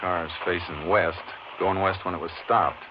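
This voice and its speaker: An actor playing a calm, methodical Texas Ranger in a 1950s radio drama, asking terse, matter-of-fact questions. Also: male voice, sits low, a little slow, background noise. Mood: businesslike. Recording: muffled old radio recording.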